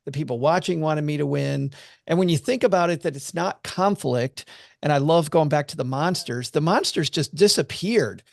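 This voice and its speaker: Gravelly, fairly high-voiced man. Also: normal speed, no echo, studio quality. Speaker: podcast guest, money commentator. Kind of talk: casual storytelling chat.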